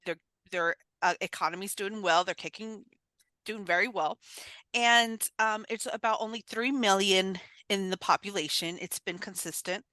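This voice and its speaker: A woman hosting an interview in a casual, animated way, exclaiming and laughing often. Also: mid-range voice, moderate pace, dry recording. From United States, Uruguayan family. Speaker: host.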